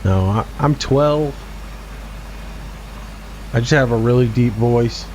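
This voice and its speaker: A man's voice: mid-range voice, slow pace, a little mellow, slight background noise, low-quality recording. A man in his forties giving casual, laid-back gameplay commentary as a streamer, chatting back to his viewers. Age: 40s. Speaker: game streamer.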